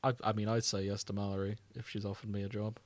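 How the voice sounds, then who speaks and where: A man's voice: lowish voice, level tone, fast talker, no echo. A man co-hosting a podcast in casual chat, with a wry, self-deprecating manner. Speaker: podcast co-host.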